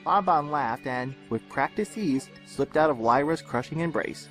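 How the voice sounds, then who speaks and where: A man's voice: fairly high pitch, animated, normal speed, a bit bright, soft background music. A man narrating expressively as the storyteller and host of a fan fiction audio channel.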